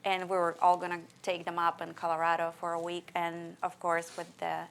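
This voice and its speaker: A woman's voice: fairly low pitch, monotone, fairly quick, fairly bright tone, slight background noise. A woman from Russia with a slight Russian-Texan accent, a guest answering interview questions in an earnest, thoughtful way with many fillers.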